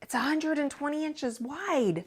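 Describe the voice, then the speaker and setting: A woman voicing an excitable young girl in a storybook, with an emphatic, childlike manner. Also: high voice, measured pace, pitched above the performer's own voice. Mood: proud. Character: young neighbor girl, easily bored, excitable.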